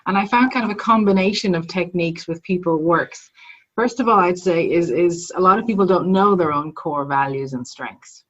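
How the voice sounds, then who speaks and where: A woman's voice: lowish voice, normal speed, fairly bright tone, muffled recording. A woman, a guest psychologist and mindfulness teacher, explaining her work in a reflective, conversational way. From Ireland. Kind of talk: conversational podcast interview.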